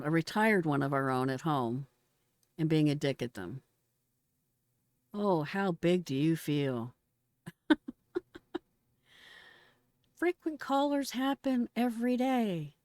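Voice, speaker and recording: A woman's voice: on the low side, darkish tone, no echo, clean studio sound. A middle-aged American woman giving casual, blunt and exclamatory reaction commentary in slangy speech. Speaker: retired emergency dispatcher reacting to a call recording.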